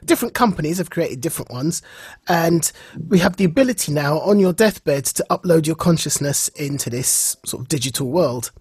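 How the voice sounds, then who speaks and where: A man co-hosting a podcast, talking casually and haltingly, repeating words for emphasis. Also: a little high, lively intonation, darkish tone, slightly rough, no room sound. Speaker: podcast co-host. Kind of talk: casual chat.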